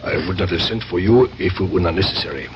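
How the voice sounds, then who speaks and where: An actor playing a cool, calculating spymaster in a radio drama, speaking in a measured, formal, authoritative way. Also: male voice, low-pitched, lightly acted. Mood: cool, commanding. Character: commanding, calculating male spymaster, cool and controlled. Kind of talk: 1970s radio drama acting. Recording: dull vintage radio broadcast, faint noise.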